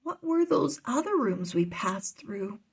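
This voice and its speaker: A woman plays a young boy apprentice in a children's storybook. He sounds earnest and curious, asking questions in a raised, breathy voice. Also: fairly high pitch, very animated, unhurried, pitched above the performer's own voice, heavily acted.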